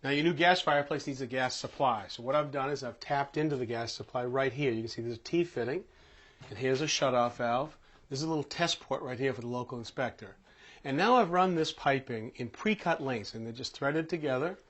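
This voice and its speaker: A man's voice: medium pitch, a little fast, fairly bright tone. A plain-spoken man hosting a home improvement show, explaining hands-on work step by step in a conversational way. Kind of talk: hands-on how-to demonstration, conversational.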